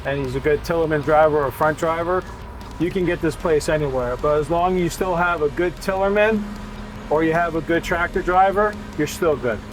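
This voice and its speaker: A man with a fairly high voice talks casually as a firefighter explaining the job. He is practical and plain-spoken, with clear engine and street noise around him. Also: a little fast. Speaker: firefighter and truck driver. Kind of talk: casual explanatory interview talk.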